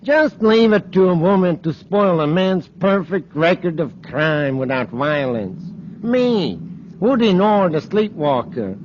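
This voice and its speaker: A pompous, boastful gentleman crook, pitched high and swooping theatrically through his speeches. It is vintage radio serial villainy on a dull old recording. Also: lively intonation, a little slow, slight background noise. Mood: indignant, self-important. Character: fussy, boastful gentleman robber, proud sleepwalking villain. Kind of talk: 1950s radio drama acting.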